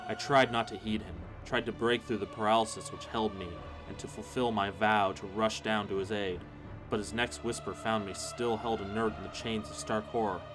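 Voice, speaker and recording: A man's voice: lowish voice, steady tone, moderate pace. A man narrating a horror story in the first person, solemn and theatrical. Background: music.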